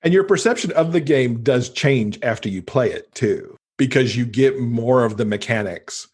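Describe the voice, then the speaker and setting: A man's voice: mid-range voice, animated, moderate pace, a little mellow, slightly rough. A man talking casually as a guest in an interview, chatty and enthusiastic about books. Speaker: podcast guest; podcast host and author.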